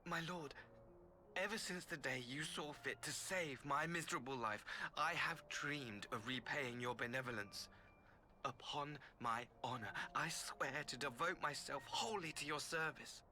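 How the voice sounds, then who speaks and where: An actor playing a fawning, fanatically devoted servant in a video game, with florid, halting, obsequious speech. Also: male voice, medium pitch, sharp tone, a little hiss or hum. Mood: fawning devotion. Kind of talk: video game cutscene acting.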